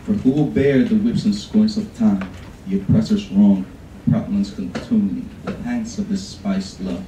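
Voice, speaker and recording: A young man reciting a classic monologue at a poetry reading contest, solemn and measured, at a podium in a large room. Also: on the low side, mellow, distant mic, a little hiss or hum. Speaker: contest reader. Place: large college event room, from far off. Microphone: podium mic, far camera. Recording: echoey room recorded from afar.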